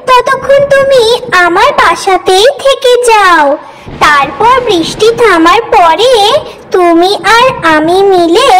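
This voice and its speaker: Tiny, kind mother tailorbird, played by a woman: extremely high, slow, sweet cartoon voice over noisy, clicky audio. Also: heavily acted. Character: kind, trusting little female tailorbird mother. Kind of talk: children's cartoon dialogue.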